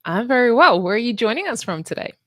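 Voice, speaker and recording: A woman's voice: on the high side, sing-song, fast talker, no room sound. An upbeat woman hosts a live online summit, rallying her viewers to share and connect. Her voice is dry and close, with no room sound around it. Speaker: summit host. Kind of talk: livestream event hosting.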